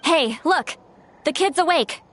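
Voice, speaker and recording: A woman voicing a brisk, friendly young female warrior in a video game. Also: high-pitched, expressive, a bit bright, soft background music, heavily acted. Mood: eager.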